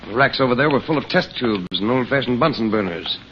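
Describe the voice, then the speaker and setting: An actor playing a resolute, grave investigator in an old-time radio jungle adventure. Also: male voice, medium pitch, animated, fast talker, close to an everyday voice. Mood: grim, investigative. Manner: decisive, grave, urgent. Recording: dull, noisy old radio recording.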